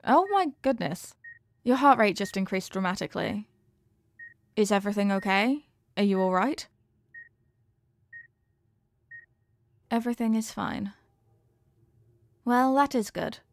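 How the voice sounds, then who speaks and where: A woman's voice: mid-range voice, lively intonation, moderate pace, long pauses, no room sound, natural voice. A woman playing an earnest, formal young scientist who is fond of her test subject, in a scripted roleplay with precise, clinical wording. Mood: concerned. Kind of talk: scripted roleplay addressed to the listener.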